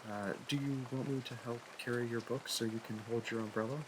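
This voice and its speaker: A man's voice: medium pitch, fairly even intonation, brisk, noisy background, close to an everyday voice. A man playing a shy, smitten young library worker in a roleplay audio, nervous and awkward, stammering and correcting himself. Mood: flustered, caring. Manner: stammering, hesitant, self-correcting. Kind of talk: intimate roleplay audio acting.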